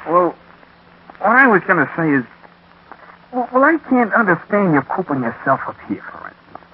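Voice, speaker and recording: An actor playing a good-natured, loyal cab driver in a 1940s radio drama, folksy, bashful and ungrammatical. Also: male voice, sits high, very animated, normal speed. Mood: bashful, kindly. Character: good-natured, loyal, unschooled male cabbie pal. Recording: narrow-band 1940s radio recording, faint noise.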